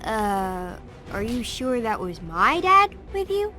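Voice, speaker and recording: A woman's voice: on the high side, very animated, slow pace, sharp tone, exaggerated voice. An actress playing an earnest, questioning young girl in a game cutscene, speaking with some hesitation. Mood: doubtful. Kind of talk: cinematic game cutscene dialogue. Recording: music behind the voice.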